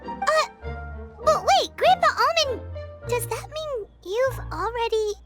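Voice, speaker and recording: A woman voicing a tiny, excitable childlike pixie companion in a video game, squeaky, naive and exclamatory. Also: slow pace, heavily acted. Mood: confused, concerned. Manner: exclamatory, questioning, cartoonish. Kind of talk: video game character dialogue. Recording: studio voice over prominent music.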